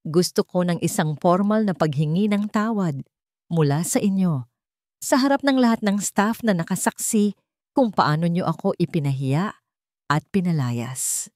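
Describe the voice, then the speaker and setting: A woman voicing a cold, steadfast young former housemaid in a Tagalog story, speaking in a firm, measured way. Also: lowish voice, expressive, a little mellow, dry recording, clean studio sound, close to an everyday voice. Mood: firm, shaky.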